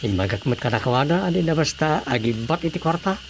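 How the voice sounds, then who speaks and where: A man's voice: fairly high pitch, animated, fast talker, slight background noise. A man playing a firm, skeptical village man in a radio drama comedy. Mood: wary.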